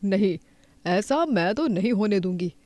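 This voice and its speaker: A woman's voice: mid-range voice, animated, unhurried, warm tone, no room sound, lightly acted. A woman voicing a resentful, scheming middle-aged village mother in a cartoon story, speaking with vindictive emphasis. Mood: bitter, scheming.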